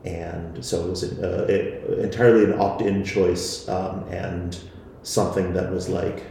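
A man's voice: low-pitched, little pitch movement, measured pace, gravelly, mellow, slight background noise. A man being interviewed about his podcast, talking hesitantly with many fillers and restarts. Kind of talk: casual interview answers. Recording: very echoey room.

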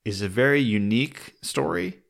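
A man's voice: mid-range voice, animated, moderate pace, vocal fry. A man hosting a podcast, introducing a show in a casual, informal and enthusiastic way.

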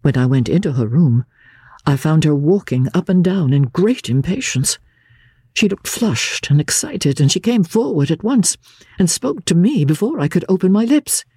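An older woman narrating classic literature as a diary, in a measured, grave and expressive dramatic reading. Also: dry recording, studio quality. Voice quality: low-pitched, husky. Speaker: audiobook narrator, radio presenter.

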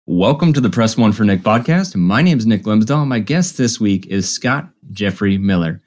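A man hosting a podcast, talking in a friendly, enthusiastic way with quick asides. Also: lowish voice, animated, darkish tone. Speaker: podcast host. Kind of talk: casual interview hosting.

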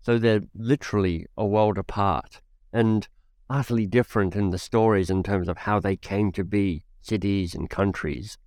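Middle-aged man, podcast host, low voice, dry studio sound, measured narration. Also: a little mellow, no echo. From Australia.

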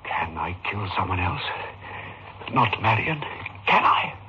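An actor playing a tormented, desperate doctor tempted to murder, in halting, melodramatic 1960s radio horror style. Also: male voice, sits low, very animated, full character voice. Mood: tormented brooding. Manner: halting, agonized, melodramatic monologue. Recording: muffled, noisy old radio recording.

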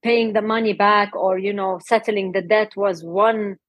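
A middle-aged woman from Lebanon, a health coach and guest, talking in a relaxed interview and laughing often. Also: mid-range voice, unhurried, no room sound. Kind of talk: casual interview talk.

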